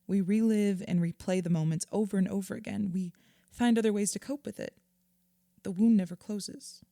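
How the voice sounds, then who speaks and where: A woman acting a patient, earnest therapist in an audio drama, measured and reassuring as she explains. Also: medium pitch, gravelly, dark tone, no room sound, studio quality, close to an everyday voice. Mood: earnest, explanatory. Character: patient, earnest female therapist counselling a client.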